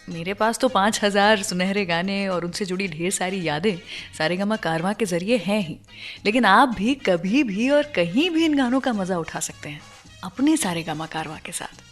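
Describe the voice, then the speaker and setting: A woman hosting a radio music show, telling stories in a scripted but conversational way and mixing in English phrases. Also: mid-range voice. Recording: music under the voice. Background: background music.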